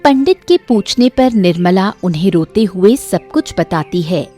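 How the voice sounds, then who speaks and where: A woman's voice: mid-range voice, expressive, unhurried, dark tone, faint music behind. A woman narrator reading a scripted moral story for children.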